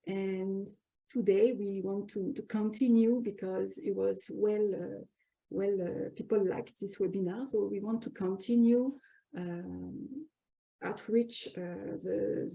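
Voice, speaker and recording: A woman with a French accent hosting a webinar on a video call, halting often as she introduces the program. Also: mid-range voice, vocal fry, warm tone, low-quality recording. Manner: many fillers and restarts, halting English. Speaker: webinar host and co-editor. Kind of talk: hesitant welcoming introduction.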